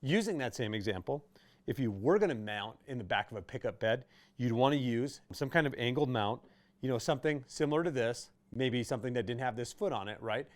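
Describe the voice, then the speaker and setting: Man casually explaining gear, medium-pitched voice. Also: a little fast. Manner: conversational, explanatory. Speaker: host of a radio gear how-to video. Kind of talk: informal instructional product explainer.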